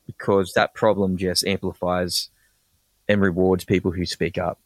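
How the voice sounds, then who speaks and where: A man with an Australian accent, a podcast guest explaining ideas in quick, enthusiastic bursts with frequent restarts. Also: deep voice, flat delivery, dry recording. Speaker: guest, personal branding expert. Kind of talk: casual podcast interview.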